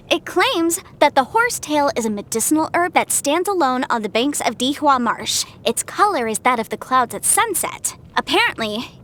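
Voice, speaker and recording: A woman voicing a confident, chatty young female legal adviser in a video game, articulate and slightly bookish. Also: high-pitched. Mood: confident, explanatory. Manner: articulate, expressive, self-assured, slightly bookish. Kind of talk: scripted game character voice lines.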